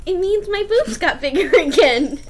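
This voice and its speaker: A woman's voice: very high voice, animated, normal speed, faint music behind. A woman voicing a sweet, playful, childish teenage schoolgirl with a cutesy, teasing manner in a visual novel. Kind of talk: visual novel read-aloud.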